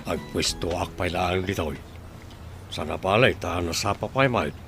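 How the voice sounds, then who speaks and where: A man's voice: deep voice, moderate pace, fairly bright tone. A man playing a friendly big-brother working man in an audio drama. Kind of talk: Ilocano audio drama acting. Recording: guitar music under the voice. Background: guitar music.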